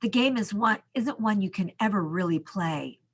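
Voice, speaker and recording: A woman reading a children's picture book aloud as a school storyteller, expressively, with dramatic pauses and drawn-out words. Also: mid-range voice, expressive, slightly rough.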